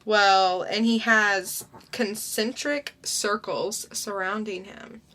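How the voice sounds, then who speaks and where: Slow-talking bright young woman co-host. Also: mid-range voice, steady tone. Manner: unhurried, chatty, summarizing plots. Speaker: podcast co-host. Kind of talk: casual comic review chat.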